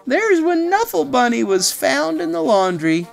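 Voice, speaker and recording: A woman's voice: sits high, big pitch swings, a little slow, gravelly, soft background music. A woman reading a children's picture book aloud, chattily explaining the pictures with casual asides. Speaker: read-aloud storyteller.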